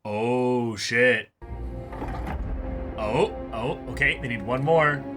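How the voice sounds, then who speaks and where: A man hosting a reaction video, chatting casually and exclaiming excitedly at the scenes. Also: medium pitch, drawn-out words, music in the background.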